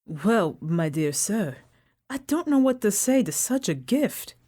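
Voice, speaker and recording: A woman's voice: medium pitch, very animated, takes it slowly, dark tone. A woman playing a kindly, flustered charity collector in an amateur radio drama, startled and effusive. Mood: astonished.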